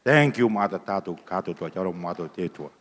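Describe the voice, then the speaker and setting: A man gives a testimony in a church. He speaks softly and haltingly, with many pauses. His voice carries the long reverb of the hall. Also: sits low, expressive, a little hiss or hum.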